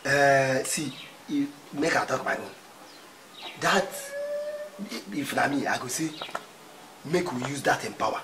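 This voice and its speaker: A man's voice: medium pitch, a little slow, long pauses, background noise, natural voice. A man with a Nigerian accent playing a scheming, commanding church leader in a film. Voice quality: commanding, threatening. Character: scheming middle-aged male church leader. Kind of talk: Nigerian Pidgin film acting. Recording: echoey room with music.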